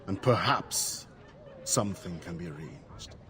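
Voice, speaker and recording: An actor playing a proud, stoic assassin, terse, measured and wary, in a video game cutscene. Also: male voice, deep voice, lively intonation, sharp tone, exaggerated voice. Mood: proud, guarded.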